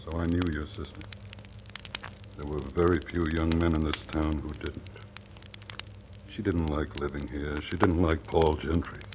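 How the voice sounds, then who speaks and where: A man's voice: rumbling low, flat delivery, a little slow, long pauses. An actor playing a hard-boiled, cynical small-town newspaperman with a grudge in old-time radio drama style. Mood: bitter, heated. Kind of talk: 1940s-50s radio drama acting. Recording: noisy, crackling old radio recording.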